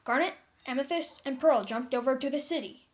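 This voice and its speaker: A woman reading a fan fiction story aloud in an animated storytelling style. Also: high-pitched, fairly quick.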